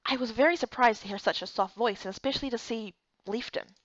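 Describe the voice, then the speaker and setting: A woman giving expressive first-person scripted narration of a fantasy story. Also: fairly high pitch, quick pace, no echo, highs cut off. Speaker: narrator and voice actor for a visual novel playthrough.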